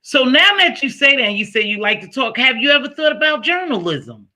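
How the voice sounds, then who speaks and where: A man's voice: extremely high pitch, very animated, brisk, sharp tone. A man hosting a casual interview, chatty, telling stories and asking questions. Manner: chatty, storytelling, asks questions. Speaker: interview host.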